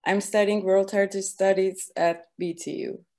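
A woman's voice: mid-range voice, level tone, moderate pace, dark tone. Young woman, student on a webcam call, careful and filler-laden answers. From Turkey. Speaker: graduate student. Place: home room, plain wall.

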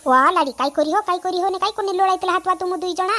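An exasperated village peacemaker in a cartoon, played by a woman, with an extremely high voice. She chides and repeats herself. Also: fast talker, bright, forceful tone. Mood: exasperated, chiding. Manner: chiding, repetitive. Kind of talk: cartoon comedy dialogue.

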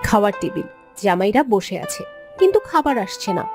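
A woman's voice: medium pitch, big pitch swings, brisk. A woman reading scripted scene narration for a cartoon story, with music behind her.

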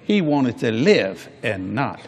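A man's voice: mid-range voice, sing-song, slow, breathy edge. An older man preaching a sermon on a large church stage before a congregation, folksy and jokey, acting out quoted people in different voices.

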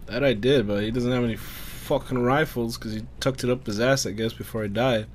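Male gamer, medium pitch, casual frustrated muttering with swearing, faint war-game noise behind. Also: fairly even intonation, fairly quick. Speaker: gamer playing a shooter. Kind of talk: casual gameplay commentary. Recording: game gunfire and ambience behind. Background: war game sounds, gunfire.

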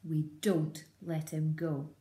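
A woman voicing a cruel, scheming farmer who speaks slow and low with menace. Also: darkish tone, reverberant, close to an everyday voice. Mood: menacing.

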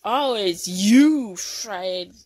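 A woman voicing a bossy, stern orphanage principal creature in an amateur cartoon dub, haughty and disapproving with a halting delivery. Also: medium pitch, expressive, a little mellow, no room sound, clean studio sound.

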